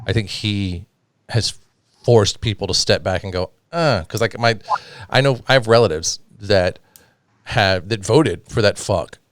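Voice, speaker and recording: A man's voice: fairly low pitch, unhurried, no room sound, clean studio sound. A man from Georgia in the US hosting a casual podcast chat, talking bluntly and swearing a lot. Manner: blunt, heavily profane. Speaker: podcast host.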